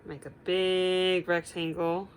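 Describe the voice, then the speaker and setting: A woman's voice: fairly low pitch, level tone, takes it slowly, smooth, rough recording. A woman teacher reading aloud to young children, expressively, with simple explanations and questions to her listeners.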